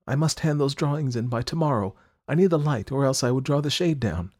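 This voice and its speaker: A man's voice: animated, brisk, darkish tone, dry recording, studio quality, natural voice. A man doing an anxious young woman artist. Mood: coaxing. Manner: pleading, tender, raised pitch.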